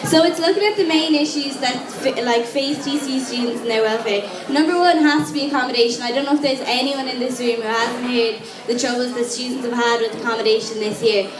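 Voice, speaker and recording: A young Irish woman giving a frank, casual campaign speech to a room of students. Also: on the high side. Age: young adult. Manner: casual, frank, quick with asides. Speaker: student election candidate. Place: lecture room with an audience. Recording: echoey room with crowd noise.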